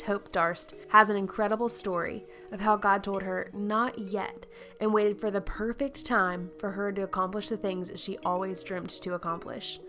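A woman hosting a podcast, upbeat and conversational, introducing a guest and chatting. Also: medium pitch. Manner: upbeat, conversational, says "I'm like" often. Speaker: podcast host.

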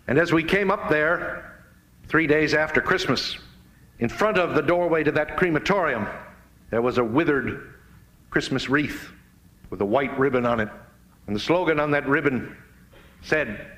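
A middle-aged American man delivering a dramatic sermon, telling stories in a solemn, emphatic, oratorical way with deliberate phrasing. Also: medium pitch, a bit bright. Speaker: preacher giving a speech. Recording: roomy, echoing live speech recording.

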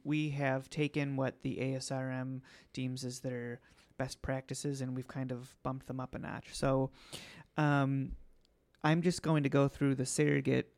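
A man hosting a podcast, slightly hoarse today, explaining things casually with many ums. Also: medium pitch, darkish tone. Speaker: podcast host.

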